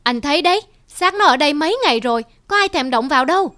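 A woman's voice: high voice, animated, quick pace, a bit bright, dry recording, clean studio sound. A woman voicing a sensible, admonishing vulture in a cartoon fable. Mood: cautioning.

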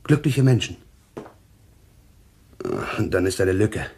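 An actor in a German radio play playing an anxious, troubled man haunted by visions, speaking haltingly with a husky voice. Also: low-pitched, animated, darkish tone, full character voice. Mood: haunted, confused. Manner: halting, haunted, repeating himself.

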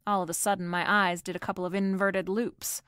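A woman's voice: medium pitch, little pitch movement, fairly quick, fairly bright tone, clean tone, no echo, studio quality, lightly acted. A woman playing a breezy, wisecracking female private detective in a noir audio drama, narrating and bantering. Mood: wry narration. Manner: wisecracking, coolly playful.

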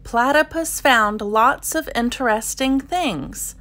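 A woman reading a children's picture book aloud in an expressive narrating style. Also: a little high, slow. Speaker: storybook reader.

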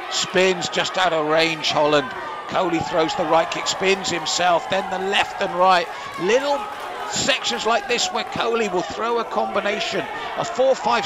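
A man calls a kickboxing fight live from ringside, picking apart the styles and weighing in with his own opinion. His voice sits a little high. A loud crowd and constant venue noise fill the background. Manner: analytical, gives personal opinions on the scoring. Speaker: ringside commentator.

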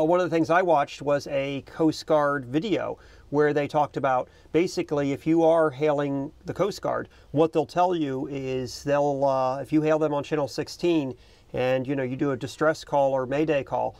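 A man's voice: mid-range voice, creaky, a little mellow, dry recording. A middle-aged man talking casually to camera as a boating video host, explaining things in an unscripted, conversational way. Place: outdoors in the cockpit of a small parked boat.

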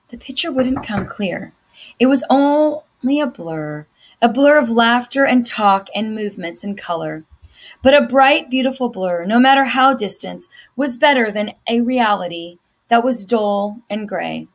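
A middle-aged woman reading a children's novel aloud as a teacher does for students. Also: fairly high pitch. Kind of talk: scripted narration, children's novel read-aloud.

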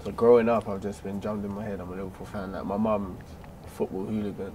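A young South London man talks in an easygoing, slangy way as a guest. His voice sits a bit low. A faint hum sits behind him. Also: flat delivery, fast talker. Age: young adult. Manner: easygoing, laughs often, slangy. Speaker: guest, rapper. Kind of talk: casual chat. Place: small carpeted room, seated in armchairs.

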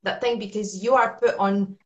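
A woman's voice: mid-range voice, steady tone, moderate pace. A woman in her thirties speaks with a Latvian accent over a webcam video call. She is a trader answering interview questions in a chatty, easygoing way, with plenty of fillers and laughter. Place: small indoor room at night.

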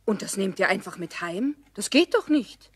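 A woman's voice: mid-range voice, lively intonation, moderate pace, husky. An actress playing a stern mother who firmly scolds her sons in a children's radio play. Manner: firm, scolding.

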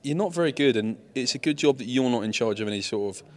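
A young man holds a handheld mic and rattles off mocking questions at a quick clip. His delivery is dry and deadpan, a steady roast with no letup, recorded at an indoor event setup. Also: medium pitch. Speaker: interviewer. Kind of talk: teasing roast interview. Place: esports event backdrop, indoors.

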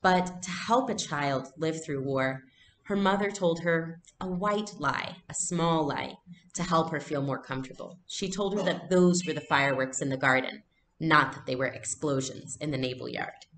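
Young woman, low voice, teacher reading and explaining, home recording, slight background noise. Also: normal speed, fairly bright tone, reverberant. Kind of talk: read-aloud lesson, explaining and narrating. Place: small furnished room at home.

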